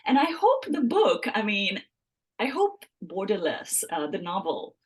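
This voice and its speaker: A woman's voice: mid-range voice, huge pitch swings, unhurried, slightly rough. A woman from Mumbai with an Indian English accent, a novelist answering interview questions at length, often restarting her phrases with many ums. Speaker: novelist guest.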